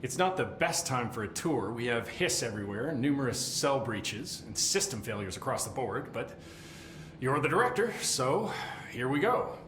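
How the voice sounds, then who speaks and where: An eager, nervous supervisor in a video game. He rambles and hesitates deferentially, his pitch jumping about. The room echoes around him, with faint noise behind. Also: big pitch swings, moderate pace, fairly bright tone. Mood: flustered, dutiful. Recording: roomy echo, faint background noise.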